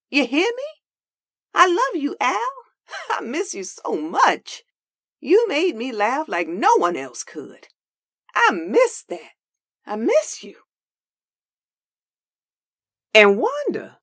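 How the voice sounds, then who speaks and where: A woman plays a feisty, loving old grandmother in a comic dub. She talks slowly in a folksy drawl, her high voice swinging widely up and down, recorded close and dry. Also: wildly swooping pitch, fairly bright tone, no echo, exaggerated voice. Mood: wistful, tender. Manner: folksy Southern-style dialect, drawn out.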